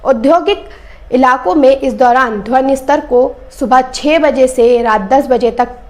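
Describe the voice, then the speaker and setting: A woman's voice: high-pitched, measured pace, a little mellow. A woman presenting scripted news commentary, speaking directly to the viewer.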